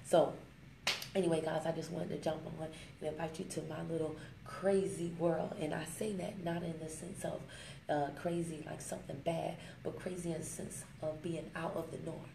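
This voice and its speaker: A woman giving a motivational vlog talk on her smartphone, emphatic and preachy, slowing down to stress her points and fond of little rhymes. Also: sits low, level tone, creaky. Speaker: network marketing vlogger. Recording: echoey room with faint ambient noise.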